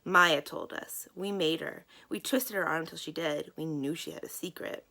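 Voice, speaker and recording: A woman voicing an excitable, greedy young girl in a storybook, eager and insistent. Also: low voice, moderate pace, gravelly, full character voice.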